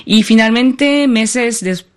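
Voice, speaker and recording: A woman's voice: on the high side, slow pace. Woman, French accent, native French speaker, interview guest, thoughtful, hesitant restarts. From France. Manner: thoughtful, searching for words, frequent restarts. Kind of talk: conversational interview.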